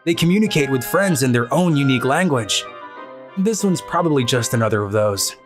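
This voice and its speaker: Woman voicing a low-pitched deadpan teenage boy. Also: a little fast. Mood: dry, weary. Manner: dry, exasperated. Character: teenage boy otaku, cool-headed, easily exasperated. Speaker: narrator and lead character of a manga video. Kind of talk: scripted first-person anime narration and dialogue. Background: background music.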